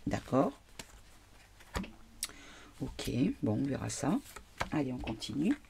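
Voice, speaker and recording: A woman's voice: very deep voice, very animated, takes it slowly, creaky, background noise. A woman with a cold, reading tarot cards for her viewers in a casual, hesitant way, often asking the cards questions aloud. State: has a cold. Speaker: tarot card reader.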